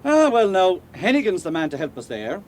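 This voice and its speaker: An actor with an Irish accent playing a genial, talkative, canny police superintendent in a radio drama. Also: male voice, sits high, sing-song, fairly quick, a little mellow, a little hiss or hum. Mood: genial, confident. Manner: folksy, cajoling, garrulous.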